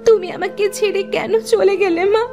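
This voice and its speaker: A little motherless girl for a cartoon tale. The voice is tiny and extremely high. She sounds plaintive and pleading, with faint music behind. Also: fairly even intonation, fairly quick, darkish tone. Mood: tearful. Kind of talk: Bengali animated cartoon story acting.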